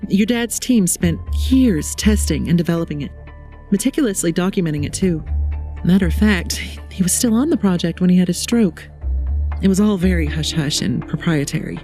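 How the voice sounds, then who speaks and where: Woman acting a low, mellow, shrewd middle-aged mother. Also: close to an everyday voice. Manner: matter-of-fact, conversational storytelling. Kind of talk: fan comic audio drama acting.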